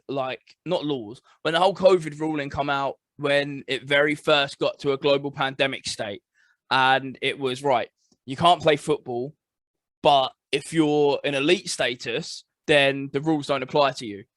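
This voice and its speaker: Male podcast co-host, bright tense tone, fairly high, agreeable and chatty. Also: fairly even intonation, no echo. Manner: agreeable, chatty, quick to laugh. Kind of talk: casual podcast chat.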